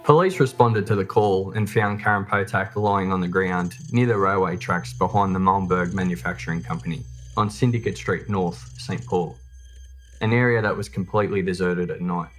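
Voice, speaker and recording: A man's voice: sits low, moderate pace, fairly bright tone, rough recording. A man reading scripted true crime documentary narration. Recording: music bed under the voice. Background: background music.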